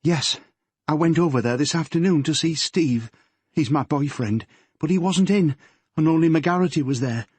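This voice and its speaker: Audiobook figure: male narrator voicing a scared teenage girl, pitched high, breathless, husky. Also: moderate pace, a little mellow, no echo. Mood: anxious. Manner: shaky, pleading, breathless. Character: frightened tearful teenage girl, a policeman's daughter.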